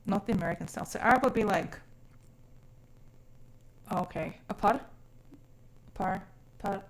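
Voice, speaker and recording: Woman, from South Africa, raised overseas, live streamer, casual chat, expressive, informal, sweary. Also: mid-range voice, measured pace. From born in South Africa, grew up mainly overseas from age six. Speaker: live streamer chatting with viewers.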